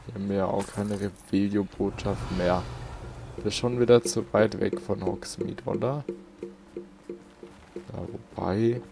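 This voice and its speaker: A man's voice: fairly low pitch, level tone, a little slow, vocal fry, pauses often. A man with a southern German accent giving casual, colloquial gameplay commentary, muttering to himself as he goes. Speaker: let's play host. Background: game music.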